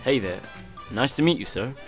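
An actor playing a polite, earnest young American newspaper correspondent in a wartime radio drama. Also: male voice, medium pitch, animated, normal speed, natural voice. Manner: polite, hesitant. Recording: faint music behind the voice.

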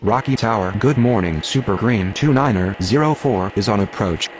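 A low male voice, acted by a man, works air traffic radio in a game. He is formal and businesslike, with clipped phrases over faint vehicle noise. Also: moderate pace, natural voice. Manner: formal, procedural.

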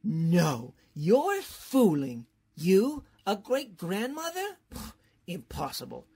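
An actor playing an eager, flattering, bumbling male professor in a theatrical old-time radio comedy style. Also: mid-range voice, slow, breathy edge, exaggerated voice. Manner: theatrical, effusive, hesitant fillers.

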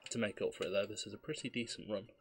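A man, slightly hoarse with a cough, calmly explaining his speedrun as he plays. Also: on the low side, very fast. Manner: relaxed, explanatory, self-deprecating. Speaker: speedrunner commentating his own run. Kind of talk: live gameplay commentary. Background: faint game music.

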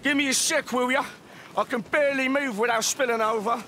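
An actor playing a cocky, grumbling young British convict turned commando in a video game, blunt, with a London accent. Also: male voice, very high-pitched, steady tone, a little fast, sharp tone, a little hiss or hum. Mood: sick, complaining. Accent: English, London. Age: young adult. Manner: surly, blunt. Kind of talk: video game cutscene acting.

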